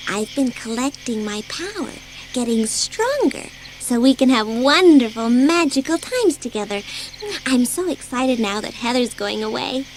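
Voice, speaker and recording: High-voiced, sly imaginary girl, played by a woman. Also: animated, measured pace, a little hiss or hum, rough recording. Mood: thrilled, scheming. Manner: coaxing, secretive. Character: imaginary girl friend come alive, possessive, sly, excited. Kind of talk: dramatised audiobook acting.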